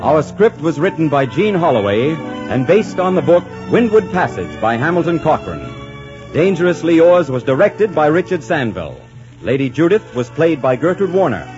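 A man's voice: on the high side, big pitch swings, normal speed. A male announcer from 1940s radio, polished and emphatic. His medium voice rises and falls expressively with a slight husk. Music plays faintly in a muffled old broadcast.

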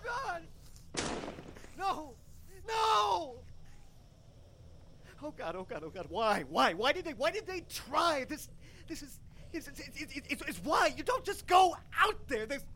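An actor playing a starving, trapped male survivor in an audio horror drama, desperate and darkly joking, talking in a halting, stammering way between murmurs and strained yells. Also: extremely high pitch, sing-song, heavily acted. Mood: panicked horror. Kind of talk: live audio horror drama, recorded diary monologue. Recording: clearly noisy live stage recording.

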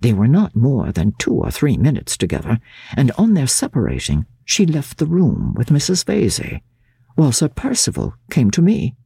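A woman audiobook narrator with a dark, husky voice reads classic literature dramatically. Her delivery is formal and measured yet moves widely in pitch, following each sentence with expression. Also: huge pitch swings, dry recording, clean studio sound. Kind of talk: dramatic scripted narration of a classic diary novel.

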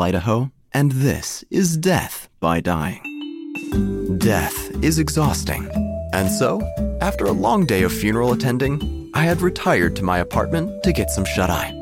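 A man playing a vain, droll small-town obituary writer, narrating an audio drama in a pompous deadpan over music. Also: mid-range voice, moderate pace. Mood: droll, grandiose.

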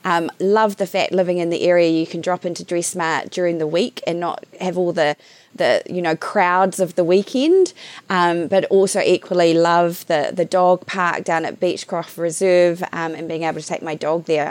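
A middle-aged woman with a New Zealand accent answers interview questions in a chatty, animated way, full of "ums" and "you knows." Her voice sits a little low, and it's recorded close and dry, with no room sound. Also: normal speed, no echo. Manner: animated, chatty, many fillers. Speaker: local board member.

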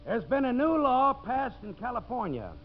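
Muffled vintage radio drama. a brash, scheming timber boss with a high, very lively voice, smooth-talking and tough. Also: male voice, normal speed, a little hiss or hum. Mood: rallying, persuasive. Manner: glib, brash. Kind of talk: 1950s radio drama acting. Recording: muffled old radio recording.

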